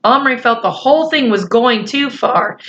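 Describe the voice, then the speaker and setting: A woman reading a children's book aloud, pausing for chatty asides to her listeners. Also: on the high side, expressive, fairly bright tone, highs cut off, slight background noise. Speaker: storyteller.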